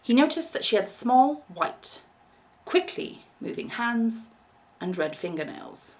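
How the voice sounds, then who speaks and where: A young woman, an English teacher, reading a short story aloud in an expressive narrating style. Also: on the high side, takes it slowly. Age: young adult.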